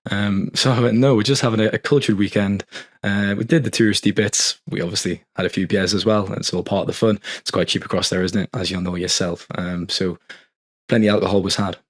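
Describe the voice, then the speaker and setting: Fast-talking, slightly hoarse English man. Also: fairly low pitch, steady tone. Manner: friendly, teasing presenter. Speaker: podcast host. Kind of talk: casual podcast chat.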